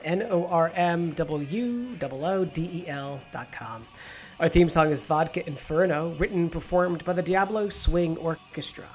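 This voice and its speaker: A man hosting a talk show about opera, chatting in quick, animated bursts. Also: on the high side. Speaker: host. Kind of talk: casual chat.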